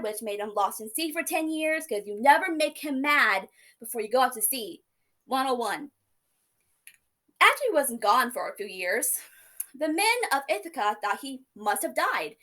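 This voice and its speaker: A young woman giving a class speech, telling stories in a playful, casual way. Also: sits high. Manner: lively, playful storytelling with casual asides. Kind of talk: informative speech.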